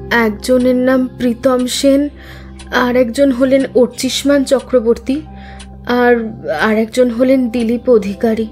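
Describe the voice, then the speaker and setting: Guarded young widow, music behind. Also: female voice, a little high, flat delivery, slow, darkish tone. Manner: curt, guarded, choked-up answers. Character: curt grieving young widow under police-style questioning. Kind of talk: scripted audio drama acting.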